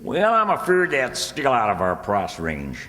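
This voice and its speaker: An actor playing an earnest, gullible small-town rustic schemer, folksy and homespun, in old-time radio comedy. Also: male voice, mid-range voice, huge pitch swings, measured pace, pushed and bright, exaggerated voice. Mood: doubtful haggling. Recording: echoey hall with long reverb.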